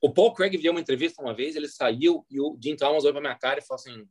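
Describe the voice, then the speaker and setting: A man's voice: fairly high pitch, very fast, darkish tone, no room sound. Brazilian man chatting casually, dry close sound. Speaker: sports interpreter and guest. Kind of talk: casual, anecdotal interview chat.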